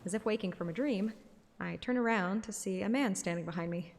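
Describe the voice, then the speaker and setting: A woman's voice: mid-range voice, a little fast, a little mellow. A woman reading a romantic fantasy story aloud as a narrator in an informal podcast. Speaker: fan audiobook narrator and podcast host. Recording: music playing behind the voice.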